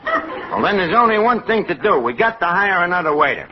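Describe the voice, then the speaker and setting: Brash New York barkeep, animated pitch. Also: male voice, fairly quick. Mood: wry. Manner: mock-genteel, sarcastic, mangles grammar. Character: brash, wisecracking, uneducated male tavern manager with pretensions. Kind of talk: 1940s radio comedy acting. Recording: muffled, hissy old-time radio recording.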